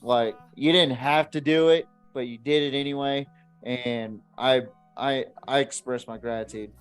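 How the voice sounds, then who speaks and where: A man's voice: medium pitch, steady tone, a little slow, clean tone, faint music behind. A man co-hosting a podcast, chatting casually to viewers in a blunt, swearing, rambling way. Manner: profane, blunt. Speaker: podcast co-host.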